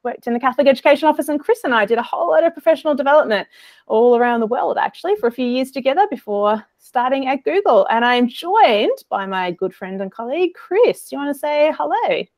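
A woman's voice: sits high, expressive, quick pace, darkish tone, no room sound. Woman, Australian accent, 30s, webinar host, casual, filler-heavy, video call, dry sound. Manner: chatty, friendly, lots of um and uh. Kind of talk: casual presenting with many fillers.

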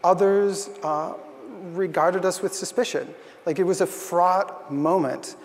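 A man's voice: sits high, unhurried, in a large hall, a little hiss or hum. A young man giving an earnest, thoughtful conference talk on a stage, with frequent fillers. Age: young adult. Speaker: conference speaker. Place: stage in a large hall.

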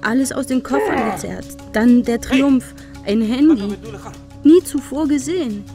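An actress playing a worn-out, despairing but composed female investigator in jail, speaking in halting, broken phrases in a radio drama. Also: high voice, music in the background. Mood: agitated. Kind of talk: radio drama acting, first-person monologue.

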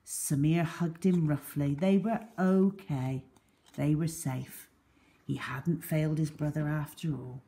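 A woman reading a children's story aloud in a deliberate, dramatic way. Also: low voice, warm tone.

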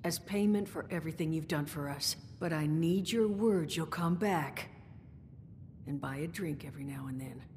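Tired wry lady bar owner from a video game, low voice, big reverb. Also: moderate pace, a little mellow. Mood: wry, businesslike. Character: world-weary, wry woman bar owner, guarded and grieving. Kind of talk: video game dialogue acting. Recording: reverberant game audio with rumbling noise.

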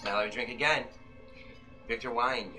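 Suspenseful male narrator over loud music. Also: medium pitch. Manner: suspenseful, deliberate phrasing. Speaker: author reading his own novel. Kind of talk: dramatic narration, old-time radio play. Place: magazine-lined shop, indoors, small audience. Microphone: camera in the audience, some distance away. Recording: live shop performance under loud music. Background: live violin and keyboard music.

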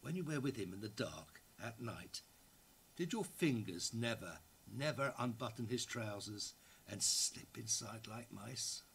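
A man's voice: fairly low pitch, expressive, measured pace, gravelly, fairly bright tone, a little hiss or hum, heavily acted. An actor in his sixties giving a dramatic reading as an ardent, guilt-ridden male writer addressing his lover, in a live room. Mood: urgent, pleading. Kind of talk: dramatic reading of a literary letter. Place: indoor library room, seated before an audience.

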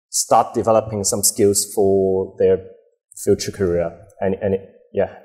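A young man in a fairly low voice. He answers at an unhurried, halting pace, full of fillers and restarts, recorded close on a lapel mic. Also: darkish tone. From Hong Kong. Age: 20s. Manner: hesitant, many fillers and restarts. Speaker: guest, bar co-owner. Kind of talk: casual interview answers. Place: dim bar set with a host.

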